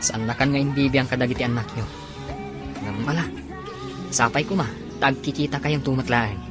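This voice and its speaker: An actor playing a blunt friend who gives advice. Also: male voice, medium pitch, brisk, over music, lightly acted. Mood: advising.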